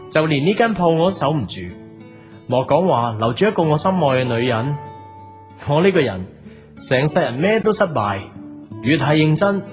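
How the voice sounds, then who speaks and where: A man playing a hapless, defensive young cafe owner in an audio drama, speaking Cantonese with a Hong Kong accent in an expressive, exasperated way. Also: fairly high pitch, close to an everyday voice. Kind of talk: audio drama with introspective narration and dialogue. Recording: music behind the voice.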